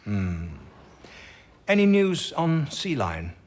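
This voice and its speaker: An actor with a British accent playing a stubborn, ailing wartime codebreaker in a radio drama, husky, terse and blunt. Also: male voice, fairly high pitch, huge pitch swings, slow pace, a little mellow, full character voice. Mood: insistent, probing.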